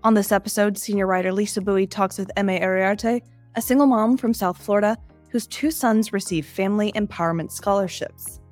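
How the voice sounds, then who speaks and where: A woman's voice: medium pitch, brisk, a little mellow. A woman announcer reads a scripted podcast intro in a mid-range voice. Music plays faintly behind her.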